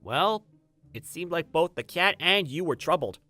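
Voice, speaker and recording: A man's voice: on the high side, animated, normal speed, bright, forceful tone, no room sound, soft background music. A man playing an aloof, blunt but kind teenage boy gamer in a manga dub, with an offhand delivery. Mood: bashful. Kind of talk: manga dub acting with scripted first-person narration.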